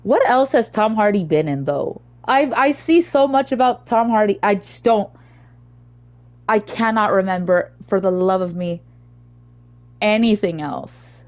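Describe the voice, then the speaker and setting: A young woman streamer with a naturally low, slightly hoarse voice, chatting casually and rambling between topics. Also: expressive, measured pace, darkish tone. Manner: rambling, chatty, easily sidetracked. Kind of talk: casual gaming stream chat.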